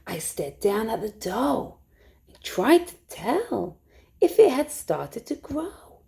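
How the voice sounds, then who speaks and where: Animated high-voiced woman reading a children's story. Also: slow pace, gravelly. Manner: expressive, hushed suspense, asks listeners questions. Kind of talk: animated read-aloud of a rhyming picture book.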